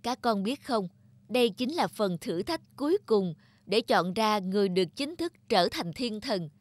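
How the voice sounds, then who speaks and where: A woman voicing a gentle, patient grown-up angel who teaches in a measured way in a children's cartoon. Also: medium pitch, expressive, no echo, lightly acted. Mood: solemn praise. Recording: loud background music under the voice.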